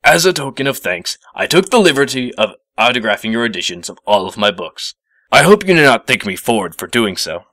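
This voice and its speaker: A man voicing a stiff male professor pony with a gruff, formal, slightly sheepish manner in a storybook reading. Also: mid-range voice, very animated, brisk, sharp tone, no echo, voice pushed up.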